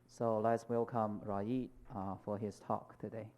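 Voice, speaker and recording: A man's voice: lowish voice, flat delivery, measured pace, very noisy. A man hosting a seminar, giving a brief, plain introduction of the guest speaker. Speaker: seminar host.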